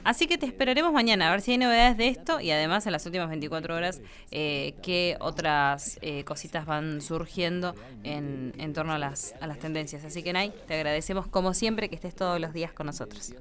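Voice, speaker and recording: A woman's voice: low voice, fairly quick, hardly any pauses, fairly bright tone. A woman with an Argentine accent hosting a radio segment, talking with frequent fillers and hesitations. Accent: Argentine Spanish. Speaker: radio host.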